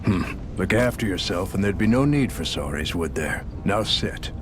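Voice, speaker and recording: An actor playing a gruff, caring, world-weary doctor in a video game, measured and gently scolding. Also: male voice, low-pitched, lively intonation, noisy background. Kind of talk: video game cutscene voice acting.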